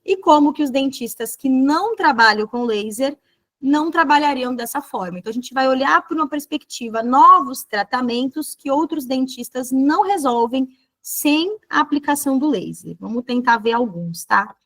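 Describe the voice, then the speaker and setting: A woman's voice: sits high, animated, normal speed, a bit bright. A woman with a Brazilian Portuguese accent giving an online lecture as a dentist, explaining fluently and often checking in with tag questions.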